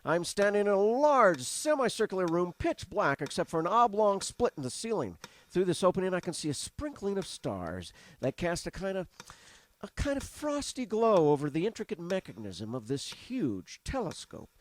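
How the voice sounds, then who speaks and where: An animated radio reporter. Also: male voice, sits high, normal speed. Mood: descriptive. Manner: vivid, descriptive, animated. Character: eager male radio reporter on the scene. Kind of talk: 1930s radio drama acting.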